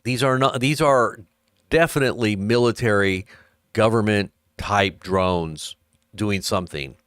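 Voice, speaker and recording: Low, smooth-voiced man doing dry commentary. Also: fairly bright tone, no echo. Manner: reads headlines aloud, then adds wry asides and chuckles. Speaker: host of an online ministry live stream. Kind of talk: casual live-stream commentary reading news headlines.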